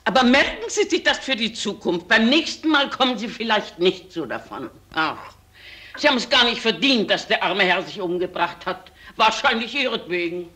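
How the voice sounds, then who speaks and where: A woman's voice: medium pitch, big pitch swings, moderate pace, sharp tone. An actress playing an indignant, scolding woman in a radio crime play. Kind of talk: 1970s German radio crime play acting.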